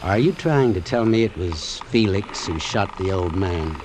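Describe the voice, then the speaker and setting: A man's voice: low voice, animated, unhurried, noisy background. An American man acting a wary, wry doctor who narrates an old-time radio suspense drama in a terse, hard-boiled manner. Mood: wry, suspicious. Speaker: actor playing the narrator and lead. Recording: vintage radio drama with music underneath.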